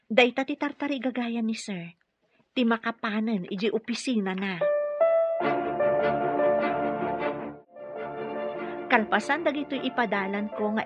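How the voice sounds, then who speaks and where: A woman's voice: mid-range voice, fairly bright tone, close to an everyday voice. An actress with an Ilocano Filipino accent playing a caring but firm therapist and young mother in a radio drama. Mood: encouraging, firm. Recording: dull lo-fi radio drama, music underneath.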